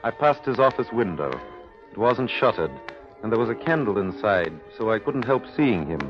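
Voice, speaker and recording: An actor playing a genial middle-aged husband in a 1930s radio drama, musing conversationally over music on a muffled broadcast. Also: male voice, lowish voice, expressive, moderate pace, natural voice. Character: genial married man gossiping at his fireside.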